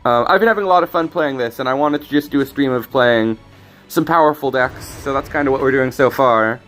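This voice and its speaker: A man casually commenting on his card game as he plays, thinking aloud with frequent restarts, his voice a little raspy today. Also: medium pitch, brisk, a bit bright, faint music behind. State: slightly raspy voice. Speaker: streamer.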